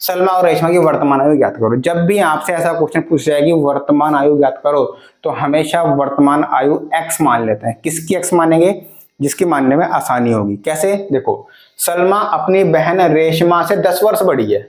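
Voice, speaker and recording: A man in his twenties teaching math for an exam, addressing students directly and reading word problems aloud slowly, word by word. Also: a little high, echoey room. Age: 20s. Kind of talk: exam-prep video lesson.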